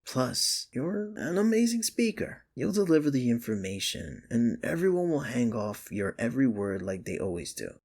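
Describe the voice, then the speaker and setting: Man acting as gravelly, husky, sardonic office rival. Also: mid-range voice, sing-song, normal speed, few pauses, a little mellow. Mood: reassuring. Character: sardonic, secretly caring young male office rival. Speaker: ASMR voice actor. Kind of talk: intimate ASMR romance roleplay.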